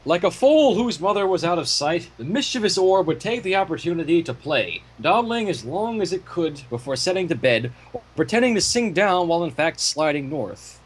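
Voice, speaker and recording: A man's voice: high-pitched, big pitch swings, normal speed, slight background noise. A man hosting a fan fiction reading, delivering the story with irreverent, profane asides. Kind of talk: story reading with casual commentary.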